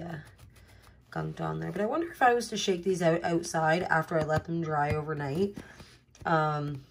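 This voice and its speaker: Casting: woman, thirties, mid-pitched voice, chatty DIY vlogger who thinks aloud, recorded on a noisy camera. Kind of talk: casual chatty how-to vlog.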